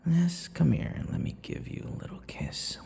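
A man playing a possessive, teasing vampire lover in an ASMR audio roleplay, smug and menacing, murmuring and slipping into breathy whispers. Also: very animated, mellow, long reverb tail. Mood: doting, possessive. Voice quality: low, gravelly. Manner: slow, breathy murmurs dropping into whispers, mocking endearments.

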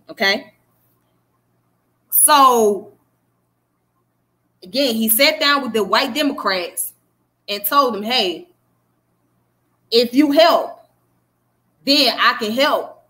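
A woman's voice: on the high side, pushed and bright. A woman in her thirties with a Southern US accent, giving an emphatic casual livestream history talk to her viewers and checking in with them often. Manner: emphatic, preachy, keeps checking in with "okay?". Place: small room indoors. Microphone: webcam close up.

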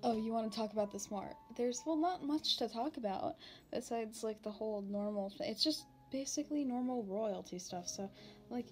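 A woman voicing a shy young demon princess in an ASMR roleplay, talking informally and haltingly to the listener. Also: a little high, close to an everyday voice. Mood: hesitant, nervous. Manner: hesitant, halting, informal with long pauses. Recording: background music.

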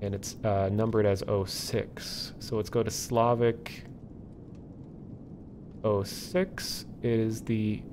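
Man explaining casually, faint rumble behind. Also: level tone. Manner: halting, thinking aloud. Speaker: livestream host. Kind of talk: casual live explainer, unscripted.

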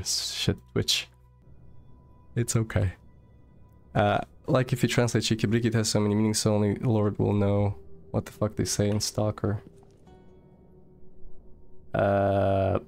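A young man from Moldova speaks in a low, gravelly voice. He chats loosely on a live stream, swearing freely and reading out viewer comments. Faint game music plays behind him. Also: measured pace, pauses often, a little mellow. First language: Russian. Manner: chatty, loose, swears casually, reads chat aloud. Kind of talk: casual live-stream chat while gaming.

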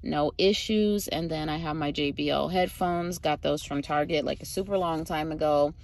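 A young woman chatting casually to her phone camera from inside a parked car, relaxed and full of fillers, with a lilt. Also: low-pitched, normal speed, a little hiss or hum. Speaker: vlogger. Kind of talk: casual vlog talk to camera. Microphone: phone camera at arm's length.

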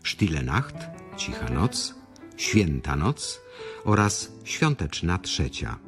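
Slow, deep male radio announcer. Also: sing-song. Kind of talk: formal radio theatre announcement. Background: instrumental music.

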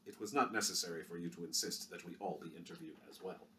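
A man voicing a dignified physician who speaks in a measured, formal, quiet way. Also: low-pitched, far from the mic, pitched below the performer's own voice. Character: precise, dignified male physician.

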